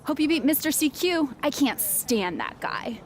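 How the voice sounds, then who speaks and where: A woman's voice: high voice, expressive, a little slow. A woman playing an enthusiastic wrestling fan in a video game cutscene. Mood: excited.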